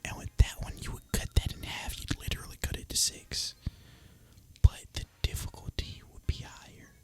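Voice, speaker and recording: A person speaking in a breathy, unvoiced ASMR whisper, musing casually and informally as gaming commentary. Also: normal speed. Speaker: host of an ASMR gaming channel.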